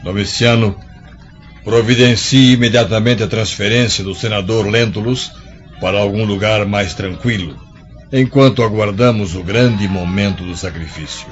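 A man's voice: on the low side, normal speed, natural voice. An actor playing a stern Roman emperor and father, giving orders formally and with authority in a radio drama. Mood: commanding. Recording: dull lo-fi radio drama with music.

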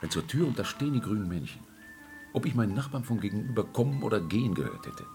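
Deep-voiced grumbling pub buddy. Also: male voice, big pitch swings, quick pace, a little mellow, soft background music. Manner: gruff, slangy, grumbling. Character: grumbling working-class pub regular, sardonic buddy. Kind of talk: naturalistic radio drama acting.

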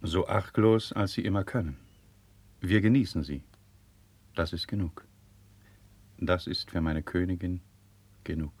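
A low-pitched, self-assured nobleman from a 1950s radio play. He is loyal and courteous, with measured theatrical diction and firm control. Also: fairly even intonation, a little mellow, breathy edge, heavily acted. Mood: tender, restrained. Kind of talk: classical German radio drama acting, 1950s.

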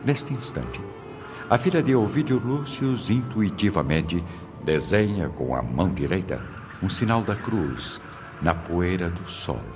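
Very deep, dramatic male narrator. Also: big pitch swings, unhurried. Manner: dramatic, solemn, formal. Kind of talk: scripted radio drama narration. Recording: dull lo-fi audio with music behind.

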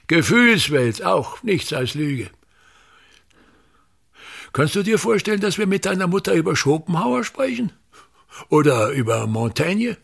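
An actor playing a pompous, tyrannical older theatre manager in a radio drama, declaiming scornfully in a gravelly, husky voice. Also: male voice, a little high, fairly bright tone, exaggerated voice. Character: pompous, tyrannical ageing male theatre actor-manager berating his son.

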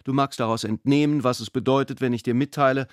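A man's voice: medium pitch, steady tone, fast, dry recording, studio quality, natural voice. A man voicing a pedantic, self-important elderly father, a jurist, reading out a formal, long-winded letter in a radio drama. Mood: pedantic, insistent. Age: older.

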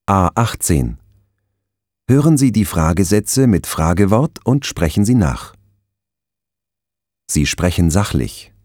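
Slow deep-voiced male course narrator. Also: no room sound, clean studio sound. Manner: calm, measured instructions. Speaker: narrator of language course audio. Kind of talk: instructional announcements.

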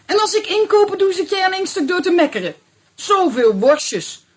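A woman's voice: extremely high pitch, sing-song, normal speed. An actress playing a bossy, grumbling country girlfriend, complaining and exclaiming in a Dutch radio drama. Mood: indignant.

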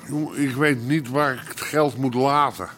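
A man acts a drunk underworld boss in a radio drama. He is tense and dismissive in a mid-pitched voice, over faint ambient noise. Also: steady tone, moderate pace, close to an everyday voice. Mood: dismissive, weary. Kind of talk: naturalistic Dutch radio drama acting.

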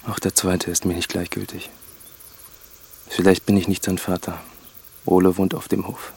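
An actor playing a weary, remorseful married farmer in a radio drama, confessing in a solemn, measured way. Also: male voice, low voice, flat delivery, creaky, darkish tone, breathy edge, full character voice. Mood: resigned. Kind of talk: German literary radio drama acting.

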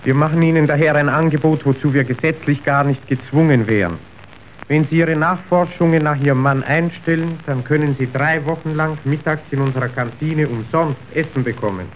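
An actor playing a slick, manipulative factory official in a radio drama, speaking in a measured, officious, persuasive way. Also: male voice, a little high, natural voice. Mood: coolly persuasive. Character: slick, calculating male factory boss's agent, smoothly manipulative. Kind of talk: stage-like German radio drama acting. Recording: dull, noisy old radio recording.

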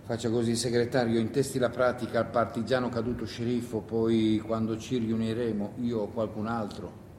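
A man's voice: level tone, moderate pace, a little mellow, lightly acted. A male stage actor plays a sombre partisan fighter. His delivery is plain, firm and terse. The recording is echoey, with faint noise behind it. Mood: sober, firm. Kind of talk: solo theatre monologue. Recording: echoey room with noise and rumble.

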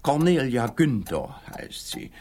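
An actor playing an odd, guileless middle-aged East German client in a comic radio play, hesitant, rambling and naively literal. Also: male voice, mid-range voice, sing-song, slow, a little mellow, slight background noise. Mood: naive, matter-of-fact.

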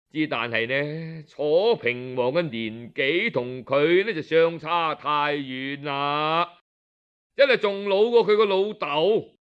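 A man with a Cantonese accent telling a story in a traditional oral style, colloquial and expressive, with drawn-out particles. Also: a little high, lo-fi sound.

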